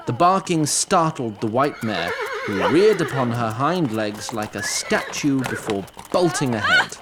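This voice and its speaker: A man's voice: medium pitch, background noise. A man narrating a scripted fantasy story in a wry storytelling manner.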